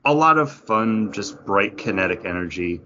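Guy co-hosting a comics podcast, lowish voice, casual, bit muffled. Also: expressive, normal speed, bright, forceful tone, a little hiss or hum. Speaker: podcast co-host. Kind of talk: casual comic book preview talk.

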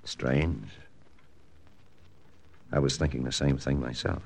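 An actor playing a hard-boiled army lieutenant, narrating in a clipped first-person style for a 1940s radio drama. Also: male voice, extremely low pitch, fairly even intonation, takes it slowly, vocal fry, darkish tone, exaggerated voice. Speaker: narrator and lead. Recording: old radio broadcast with music.

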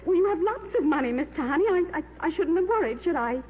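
A woman's voice: extremely high pitch, expressive, quick pace. An actress playing a caring, fretful young woman in an old radio drama, emotional and insistent. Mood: exasperated, tearful. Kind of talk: 1950s radio drama acting. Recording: muffled reverberant old radio, hum.

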